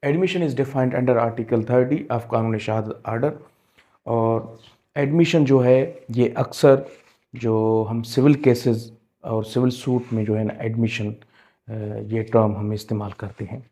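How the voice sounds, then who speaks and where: A man teaching a law exam-prep lesson, explaining step by step and repeating key terms, slowing into careful English when he reads legal text aloud. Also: medium pitch, warm tone. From Pakistan. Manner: switches into slow, deliberate English when reading legal text. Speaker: law lecturer.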